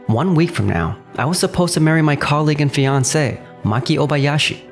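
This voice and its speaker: A man's voice: a little high, lively intonation, a little fast, soft background music. A man playing an earnest, responsible young businessman in a manga dub, narrating and speaking in character. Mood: measured narration. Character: earnest, responsible 29-year-old businessman narrating his betrayal.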